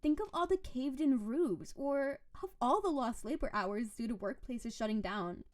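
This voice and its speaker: A woman's voice: high-pitched, lively intonation, moderate pace, dry recording, studio quality. A woman playing a playful, possessive snow-spirit wife in an audio roleplay, coaxing and doting in a theatrical way. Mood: mock indignation. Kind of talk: scripted audio roleplay addressed to the listener.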